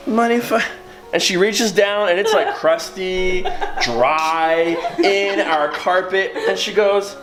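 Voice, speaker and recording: American guy pastor doing funny storytime, kinda high voice, theatrical and giggly, music playing behind him. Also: takes it slowly, few pauses, low-quality recording. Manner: theatrical, emphatic, giggles while talking. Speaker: pastor telling a personal story. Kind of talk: animated comedic storytelling.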